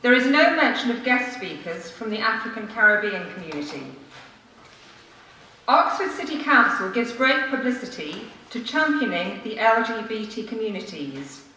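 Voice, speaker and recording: A woman reads a formal prepared speech to a council meeting, measured and earnest, with emphatic phrasing. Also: on the high side, big pitch swings, pushed and bright. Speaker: member of the public addressing a city council. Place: large council meeting room, indoors. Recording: echoey room from far off, faint noise.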